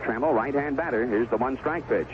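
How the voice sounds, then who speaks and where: An American man calling baseball play-by-play on the radio, in clipped phrases with unhurried pauses. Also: mid-range voice. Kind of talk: live baseball radio commentary. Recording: tinny, noisy 1970s AM radio broadcast.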